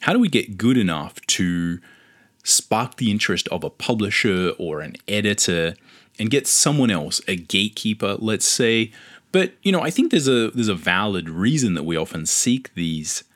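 An Australian man in his forties hosts a solo podcast, talking casually in a very low voice. He muses and meanders, full of restarts and small fillers. The recording is dry and close, with no room sound. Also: big pitch swings, normal speed, fairly bright tone, no room sound. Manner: reflective and rambling, with many fillers and restarts. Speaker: podcast host.

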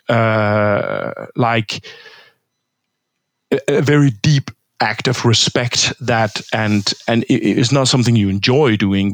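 A man's voice: on the low side, dry recording. A man from Denmark, a historian of religion, giving a thoughtful interview answer with frequent hesitations and restarts. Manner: thoughtful, halting, many fillers and restarts.

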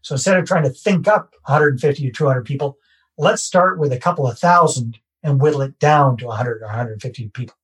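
A Canadian man, a real estate investor, talking through his process in a relaxed podcast interview and repeating his key points. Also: medium pitch, a little fast, slightly rough. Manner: conversational, self-deprecating, repeats key phrases.

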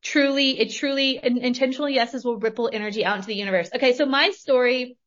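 Emphatic woman, slightly higher voice. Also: fast, a bit bright, muffled recording. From Northern California. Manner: emphatic, repeats phrases, mild swearing. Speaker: podcast host. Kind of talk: motivational storytelling.

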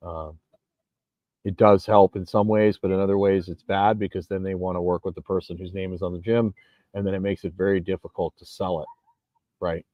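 A man's voice: low voice, flat delivery, brisk, no echo, muffled recording. A man in his forties talking casually in an emphatic, rambling monologue, recorded outdoors. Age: 40s. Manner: rambling, emphatic, frequent restarts. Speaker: vlogger and gym owner. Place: outdoors on a beach.

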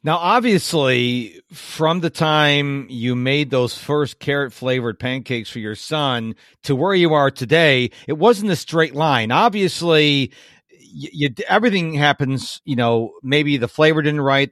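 Man in his fifties, rambling chatty interview host, slightly high voice, dry close recording. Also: animated, a little slow, a bit bright, no echo. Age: 50s. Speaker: podcast host. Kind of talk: casual interview.

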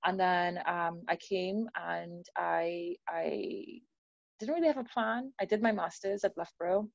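A woman's voice: lowish voice, measured pace, gravelly, no room sound, dull audio. A woman in her thirties from Trinidad and Tobago, with an accent mixing Trinidadian and American, chatting as an interview guest about her work as a personal trainer, with lots of fillers and easy laughter. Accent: mixed Trinidadian and American. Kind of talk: casual conversational interview.

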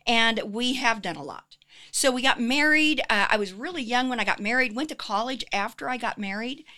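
A woman in her seventies, a guest telling her life story in a lively, upbeat casual chat. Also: a little high, a little fast. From Kansas City area, US. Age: 70s.

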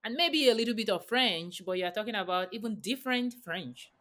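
A woman's voice: mid-range voice, animated, fairly quick, a bit bright. A woman hosting a podcast, asking long, rambling questions in several parts and reacting casually to her guest. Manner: asks long, rambling multi-part questions. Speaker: podcast host.

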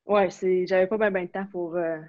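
Young woman, Quebec French accent, casual chatty interview, flat delivery, dull lo-fi sound. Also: on the low side, moderate pace, no room sound. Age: young adult. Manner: relaxed and chatty, with many fillers and restarts. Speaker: interview guest, university hockey player.

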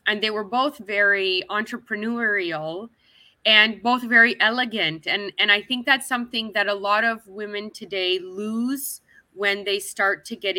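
A woman's voice: mid-range voice, bright, forceful tone, no echo, low-quality recording. A woman from Canada, talking as an interview guest in a chatty, upbeat way. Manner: chatty and upbeat, with frequent restarts and laughs. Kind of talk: casual interview chat.

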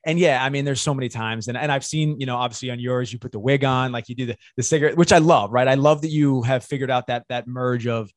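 A man's voice: medium pitch, fast talker, few pauses, no echo. A man hosts a casual interview in a mid-range voice. He works his way to questions in a rambling, chatty manner, and the recording is dry with no room sound. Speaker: podcast host.

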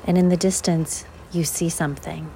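A woman leading a guided visualization in an unhurried, measured way, leaving long pauses between short phrases. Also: deep voice, fairly even intonation, warm tone, a little hiss or hum, rough recording. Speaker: guided meditation host.

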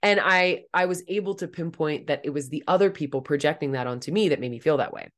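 A young woman with a raspy, somewhat deep voice, hosting her own coaching podcast in a blunt, direct, casual way. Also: fast, a bit bright. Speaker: podcast host and life coach. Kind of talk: casual solo podcast monologue.

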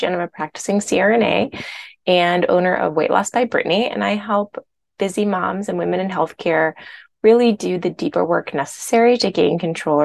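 A woman hosting her own podcast as a weight loss coach, a little hoarse from a sore throat, talking in a chatty, candid way. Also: medium pitch. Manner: chatty, candid, uses filler words. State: hoarse, recovering from strep throat. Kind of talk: casual podcast monologue.

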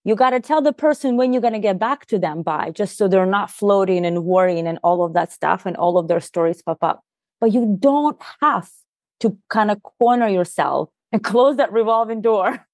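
A woman's voice: mid-range voice, normal speed, a little mellow, dry recording. Earnest Russian-native woman coaching on talk. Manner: earnest, explanatory, lots of "you know" and "like". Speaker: podcast guest, leadership coach. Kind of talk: conversational podcast interview.

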